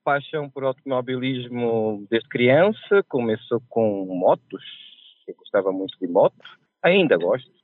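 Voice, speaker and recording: A man from Portugal with a Portuguese accent softened by Brazilian speech, telling stories with a laugh as a podcast guest. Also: mid-range voice, animated, a little slow, no echo. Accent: Portuguese, softened by years in Brazil. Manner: chatty, laughs at his own mishaps. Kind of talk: casual storytelling. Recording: tinny phone line.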